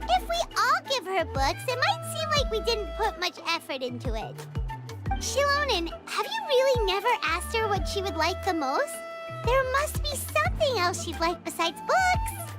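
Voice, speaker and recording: An actress voicing a small, eager, childlike video game companion with a squeaky voice and an earnest, exclamatory manner. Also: female voice, very animated, moderate pace, over loud music. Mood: concerned, curious. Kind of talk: English video game voice acting.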